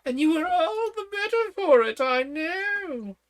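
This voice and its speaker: Lady putting on a squeaky flustered old queen, voice swoops all over, storybook. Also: lively intonation, unhurried. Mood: scatterbrained. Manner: high fluttery shrieks, scatterbrained. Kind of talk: storybook reading.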